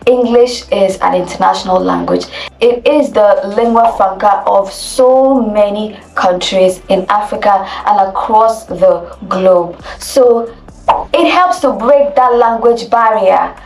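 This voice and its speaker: A Nigerian woman coaching on elocution, with a gravelly edge to her voice. She speaks deliberately and emphatically, often repeating her points. Her voice carries a roomy echo, picked up from a little way off the mic. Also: mid-range voice, a little mellow, recorded from a distance. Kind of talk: instructional video talk.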